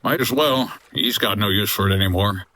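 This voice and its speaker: An actor playing a wry 1950s hotel owner and boat skipper in a noir comedy audio drama, dry and terse. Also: male voice, fairly low pitch, fairly quick, sharp tone. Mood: hard-boiled. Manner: dry, hard-boiled, terse.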